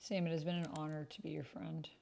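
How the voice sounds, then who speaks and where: A woman's voice: low voice, flat delivery, quick pace, creaky, warm tone. A woman giving casual commentary as she types and reads chat aloud. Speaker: host of a solo roleplaying video. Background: computer keyboard typing.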